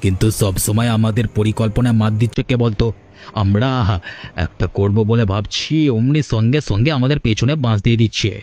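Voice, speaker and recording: A man's voice: lowish voice, steady tone, brisk, darkish tone, faint music behind, close to an everyday voice. A man voicing a crude, envious, bossy gang boss in a village folk-tale cartoon. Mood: frustrated, scheming.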